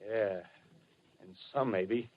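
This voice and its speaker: An actor in a 1930s radio drama playing a fanatical artilleryman, a streetwise survivor with rough colloquial speech and an intense manner that swings from brooding to fervent. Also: fairly low pitch, drawn-out words. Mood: grimly sardonic. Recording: muffled lo-fi 1930s radio broadcast.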